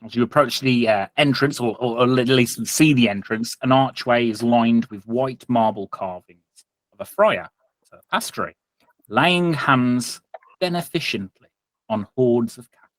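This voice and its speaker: A man's voice: mid-range voice, normal speed, no echo, low-quality recording. A British man hosting and narrating a tabletop roleplaying game as game master, feeling his way through sentences with self-corrections. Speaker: game master and podcast host.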